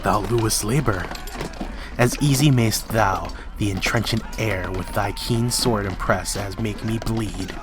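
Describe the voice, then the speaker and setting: Man performing doomed warrior king, defiant, Shakespearean stage verse, low register, unhurried delivery. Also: background noise, lightly acted. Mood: menacing, scornful. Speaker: amateur reader in library staff dramatic reading. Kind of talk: Shakespearean dramatic reading. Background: music and battle sound effects.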